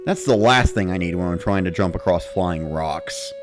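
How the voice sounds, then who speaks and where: A man narrating in the first person with an expressive, dramatic delivery. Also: low voice, normal speed, music in the background. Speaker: narrator of a dramatic reading series.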